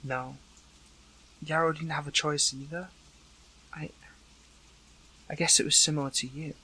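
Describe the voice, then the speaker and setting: A man playing a weakened captive young superhero in an intimate audio roleplay, hurt but earnest, speaking haltingly with emotion. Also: a little high, fairly even intonation, pushed and bright, slightly rough, exaggerated voice, low-quality recording.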